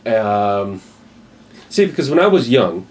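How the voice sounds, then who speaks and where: A man's voice: fairly low pitch, sing-song, slow, rough recording. A middle-aged man with an American accent, a guest telling stories in a casual, reflective way, with frequent fillers. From Spain. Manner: reflective, frequent "you know" and "um". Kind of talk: casual conversational storytelling.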